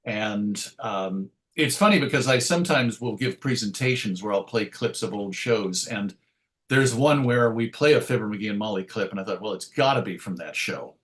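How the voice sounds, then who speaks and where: A man's voice: lowish voice, lively intonation, normal speed, a bit bright. A man speaking as an interview guest, conversational and thoughtful, illustrating his points with anecdotes and quoted asides. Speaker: interview guest, radio show host.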